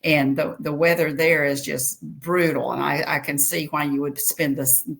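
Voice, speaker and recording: A woman hosting a podcast interview, chatty and friendly with quick asides. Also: low-pitched, steady tone, vocal fry. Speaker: podcast host. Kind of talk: interview chat.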